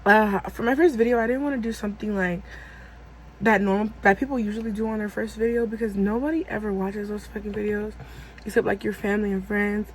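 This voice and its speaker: A woman's voice: medium pitch, slight background noise, low-quality recording. A woman in her twenties telling a personal story on her vlog in a rambling, casual way, full of fillers and self-corrections. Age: 20s. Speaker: vlogger.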